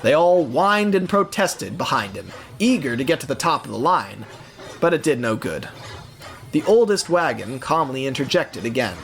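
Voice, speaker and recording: A man narrating a scripted audio story in an expressive storytelling manner. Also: mid-range voice, moderate pace, vocal fry, a little hiss or hum.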